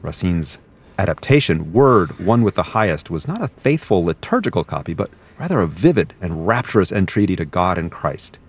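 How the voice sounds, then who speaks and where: A man with a low, deep voice introduces a classical concert on the radio. His scripted narration is measured and even, the steady pacing of a seasoned broadcast announcer. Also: breathy edge. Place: concert venue broadcast booth.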